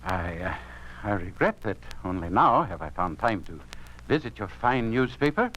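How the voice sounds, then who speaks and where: A man acting a hesitant, blandly ominous government official in a 1950s radio drama, repeating himself with halting politeness. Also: on the low side, big pitch swings, fairly bright tone, dull audio. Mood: formal, halting. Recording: noisy 1950s radio recording.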